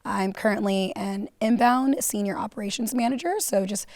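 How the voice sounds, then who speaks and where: A woman's voice: mid-range voice, normal speed, a little mellow, no room sound. A woman from Florida, a guest on a stage panel, telling her story at length with frequent fillers. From Florida, United States. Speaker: panel guest, operations manager. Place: conference stage.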